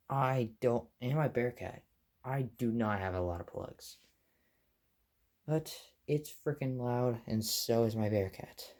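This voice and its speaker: A man giving a casual, rambling show-and-tell as a hobbyist vlogger, offhand and full of detail. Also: mid-range voice.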